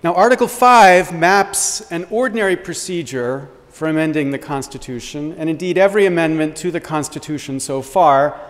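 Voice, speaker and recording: A middle-aged American man, a law professor, giving measured formal opening remarks at a lectern in a wood-panelled lecture hall, speaking into a podium microphone. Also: fairly high pitch. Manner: measured, deliberate, gestures while speaking at a lectern. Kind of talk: formal conference address. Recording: long reverb of a lecture hall.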